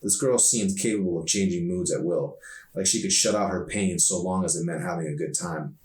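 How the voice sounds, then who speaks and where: A man reading his own novel aloud as an author, in expressive audiobook narration. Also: sits low, a little fast. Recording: echoey room, distant mic.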